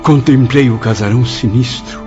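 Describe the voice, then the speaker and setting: A man's voice: medium pitch, moderate pace, lightly acted. An actor playing the anguished, proud spirit of a male writer in a radio drama, speaking formally and emotionally. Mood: anguished, haunted. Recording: dull lo-fi audio under loud music.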